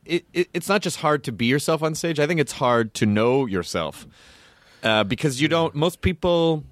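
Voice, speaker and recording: Chatty, animated podcast host, a man. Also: a little high, moderate pace, dry recording, studio quality. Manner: animated, chatty, swears casually. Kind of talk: casual interview chat.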